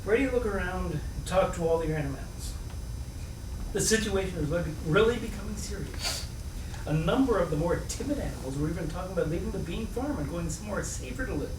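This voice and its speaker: A man giving an expressive, playful live storybook reading, with chatty asides to his listeners. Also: sits high, brisk. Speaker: reader at a live book reading. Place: indoor room at a live reading. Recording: echoey room, distant mic, faint noise.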